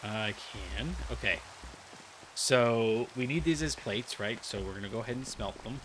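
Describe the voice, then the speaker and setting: A man's voice: lowish voice, unhurried, noisy background. A man giving casual, rambling commentary while playing a video game, thinking aloud with many restarts. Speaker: gaming video creator. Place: cold room with a heater.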